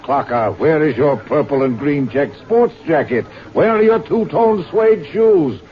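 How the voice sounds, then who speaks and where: An actor playing a bemused, wheedling doctor pal in a vintage radio drama, theatrical and given to learned terms. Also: male voice, a little high, animated, a little slow, a little hiss or hum. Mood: astonished. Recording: dull old radio recording.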